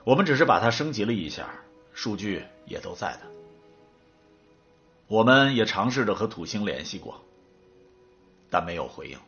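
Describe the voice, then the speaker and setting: Actor as a low-voiced businesslike official. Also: male voice, big pitch swings, normal speed, pauses often, a bit bright, highs cut off, faint music behind. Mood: matter-of-fact. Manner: matter-of-fact, explanatory. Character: businesslike male command centre official explaining plans. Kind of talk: audio drama acting.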